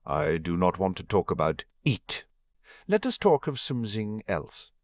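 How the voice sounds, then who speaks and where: A man's voice: sits low, big pitch swings, a little slow, dry recording. A man voicing a vain, dramatic young French woman with a French accent and a theatrical, sighing delivery. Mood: sulky. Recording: dull lo-fi dry recording.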